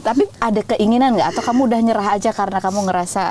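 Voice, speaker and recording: A woman's voice: medium pitch, rapid-fire, noisy background. A middle-aged woman with an Indonesian accent hosts a casual interview, bantering in a teasing, probing, chatty way. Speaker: host interviewing her daughter.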